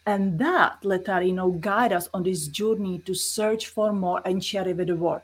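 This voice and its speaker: A woman's voice: lowish voice, normal speed, breathy edge. A middle-aged woman with a Czech accent, earnestly explaining spiritual experiences as an interview guest in non-native English.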